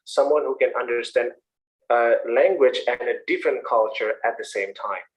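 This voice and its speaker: A man's voice: mid-range voice, moderate pace, rough recording. A man with an Indonesian accent, an English instructor explaining and encouraging students in an online class. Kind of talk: answering questions in an online class. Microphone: online video call.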